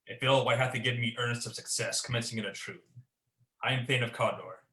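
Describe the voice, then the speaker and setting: A man's voice: mid-range voice, fast, bright, forceful tone, close to an everyday voice. A young man playing an ambitious, troubled warrior lord in a Shakespearean radio play, delivering verse in a brooding, theatrical way. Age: young adult. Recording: echoey room sound.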